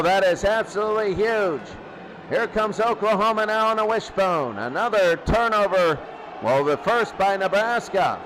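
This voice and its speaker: A man doing excitable play-by-play football commentary in a radio style, often repeating key phrases. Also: high voice, slight background noise, poor audio.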